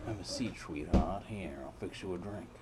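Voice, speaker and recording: A man's voice: low-pitched, normal speed, heavy background noise, close to an everyday voice. A man playing a world-weary, brooding private detective in a noir audio drama, narrating in florid, rambling, repetitive phrases. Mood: wry, flirtatious.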